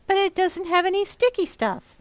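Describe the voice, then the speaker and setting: A woman's voice: very high voice, animated, normal speed, no echo. A woman playing a cheeky, innocent, plain-spoken young boy in an old-time radio comedy. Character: cheeky young boy, the Nelsons' little son.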